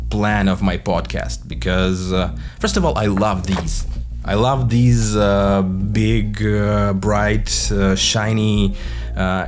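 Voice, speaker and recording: A young man with a thick Russian accent talking alone on his podcast, halting with many fillers and a wry, dark humor. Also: low voice. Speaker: podcast host, comedian. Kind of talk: reflective solo podcast monologue. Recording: music playing under the voice. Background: guitar music.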